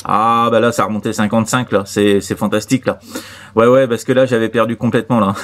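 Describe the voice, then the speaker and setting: A man chatting casually as a CB radio operator, halting and repetitive, with many short verbal tags. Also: fairly low pitch, flat delivery, background noise. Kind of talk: casual radio contact chat.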